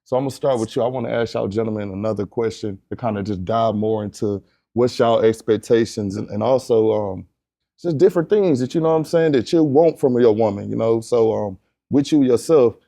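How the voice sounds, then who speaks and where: A man's voice: medium pitch, brisk, vocal fry, warm tone. A male host running a casual interview in a laid-back way, leaning on repeated catchphrases.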